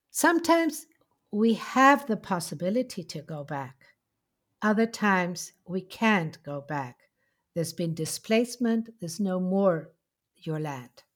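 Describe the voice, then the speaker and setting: A middle-aged woman with an Argentine Spanish accent, telling her life story in a slow, heartfelt way with many pauses. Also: medium pitch, expressive. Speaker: interviewee. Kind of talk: reflective personal storytelling.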